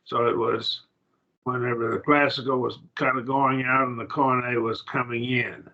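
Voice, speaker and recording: Man, Bible class teacher, halting lecture, gravelly voice, dull lo-fi sound. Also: medium pitch, flat delivery, pushed and bright. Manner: plain, halting teaching with restarts and asides.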